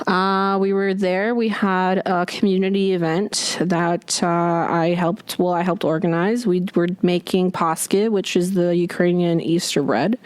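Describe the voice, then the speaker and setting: A young woman from Poland speaks in a lowish, gentle voice, answering an interviewer candidly. She talks in a thoughtful, halting way, often restarting her sentences. The recording is dry and close, with no room sound. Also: steady tone, smooth, no room sound.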